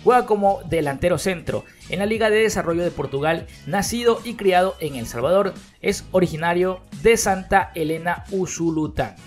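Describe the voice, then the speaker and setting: A man with a somewhat high voice reads football headlines in a brisk, newsy style. He talks straight to his viewers between items. Soft music plays under his voice-over. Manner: brisk, newsy delivery with direct calls to viewers. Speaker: news host of a football channel. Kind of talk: read-out sports news narration. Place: voice-over, not recorded at the pictured fields. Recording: voice-over with faint background music. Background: background music.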